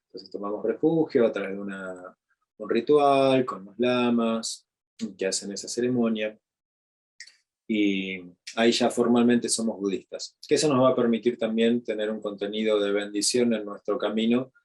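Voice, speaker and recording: A man with an Argentine Spanish accent giving an unscripted talk as a meditation teacher, speaking slowly with frequent fillers and restarts. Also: lowish voice, steady tone, darkish tone.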